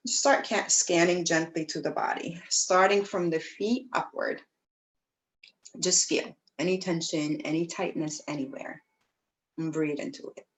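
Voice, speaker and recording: A woman teaching yoga, guiding breathing and meditation in a gentle, soothing way, with pauses between phrases. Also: on the low side, poor audio. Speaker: yoga teacher leading a class. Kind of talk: guided breathwork and meditation instruction.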